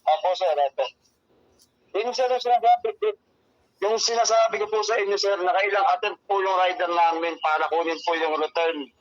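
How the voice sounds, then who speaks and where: A man's voice: sits high, moderate pace. A man with a Filipino accent speaking as a courier customer-service agent on the phone, explaining things deferentially and repetitively with frequent polite address. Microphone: telephone. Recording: muffled lo-fi phone call, dry.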